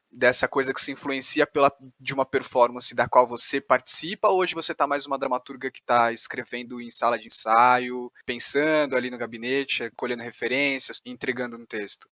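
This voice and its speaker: A Brazilian man with a bright, forward voice and a mid-range pitch hosts a podcast interview. He speaks conversationally, feeling his way through each thought, in a very dry recording. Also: dry recording.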